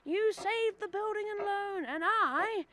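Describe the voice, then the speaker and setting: A woman's voice: very high-pitched, measured pace, very noisy. A teenage girl acting a scheming, smug rich businessman in a radio drama, persuasive and self-important. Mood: smug persuasion. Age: teens. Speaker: student radio drama actor.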